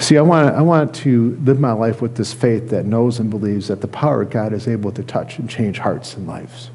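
A middle-aged American man giving a sermon as a pastor, speaking earnestly and haltingly, often repeating phrases. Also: on the low side, darkish tone, husky. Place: church sanctuary, indoors at a lectern.

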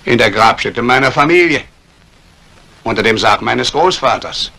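An actor playing a scheming embezzler in a 1950s radio crime drama, calculating and menacingly polite. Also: male voice, medium pitch, expressive, normal speed, creaky, pushed and bright, heavily acted. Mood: coolly scheming. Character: cold, scheming embezzling banker plotting murder.

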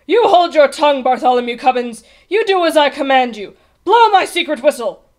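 A woman's voice: high voice, sing-song, moderate pace, voice pushed up. A woman voicing a grumpy, imperious old king in a storybook, bellowing his commands with hot-tempered bluster. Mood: furious. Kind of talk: storybook character voice.

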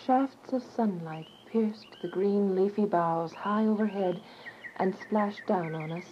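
A woman's voice: medium pitch, takes it slowly, slight background noise, close to an everyday voice. Actress as earnest fugitive woman, dull lo-fi sound. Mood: solemn, tense. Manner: earnest, dramatic, literary delivery. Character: frightened, devout young woman fugitive telling her story. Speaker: narrator and lead actor. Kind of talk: dramatic first-person radio drama narration. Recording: dull, lo-fi audio with music behind.

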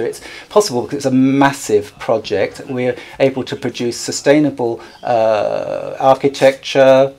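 A man gives a live interpretation of a conference keynote in a soft-edged, mellow voice of medium pitch. He speaks slowly and haltingly, often backing up to find a better word. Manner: halting, groping for words and correcting himself. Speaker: simultaneous interpreter.

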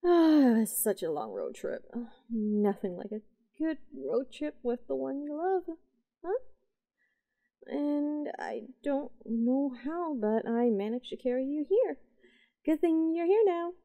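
A woman playing an obsessive, possessive yandere young woman in a roleplay audio drama, cooing and halting, sweetly unhinged. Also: high voice, big pitch swings, warm tone, no echo, exaggerated voice. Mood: giddy, adoring. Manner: cooing, halting, breathy asides.

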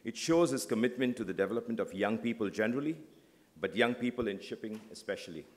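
A male conference host introduces a guest from the stage in polished, measured tones. His voice sits fairly low. The sound rings out with a long reverb through a big hall. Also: expressive. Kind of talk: formal introduction. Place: large hotel conference hall.

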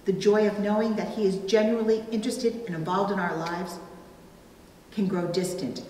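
A middle-aged woman preaching a sermon from a pulpit, with deliberate, emphatic phrasing and pauses. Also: medium pitch, fairly even intonation, mellow. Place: indoors at a church pulpit. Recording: roomy, reverberant church, distant, faint noise.

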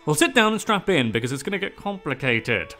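A man's voice: sing-song, fairly quick, bright, forceful tone, faint music behind. A man giving wry, jokey scripted narration of a fact video. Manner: wry and jokey, with sudden pitch jumps.

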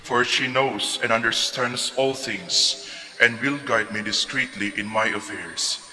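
A man recites liturgical psalms at a steady, measured pace. His tone is bright and clear. The voice rings out in the long reverb of a large church. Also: medium pitch.

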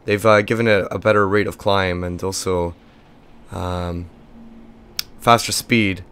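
A young man with a lost voice and little pitch movement, hosting a casual game walkthrough in a chatty, rambling way. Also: fairly even intonation, measured pace, fairly bright tone, clear, resonant tone. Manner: rambling, chatty, filler-heavy. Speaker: gaming video host.

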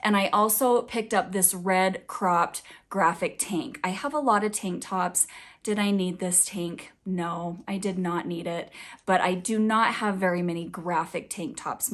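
A woman in her thirties talks through her clothing budget in a chatty, upbeat, confessional way. Her voice is still a bit raspy and scratchy from a recent illness, and her pitch sits in a middle range. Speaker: fashion vlogger. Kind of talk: casual budget update vlog.

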